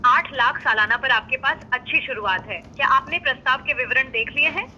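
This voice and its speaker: A woman agent speaks on a dull, lo-fi phone line in a high, very bright and tense voice. She is polite and measured, carefully confirming each detail for the customer. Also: a little hiss or hum. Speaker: insurance agent calling a customer. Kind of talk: scripted customer service call.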